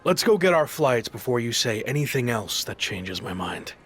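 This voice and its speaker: A man's voice: mid-range voice, very animated, normal speed, fairly bright tone, faint music behind. Grudging, sardonic male wrestler. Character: reluctant, headstrong male pro wrestler, grudging and sarcastic. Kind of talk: video game documentary cutscene acting.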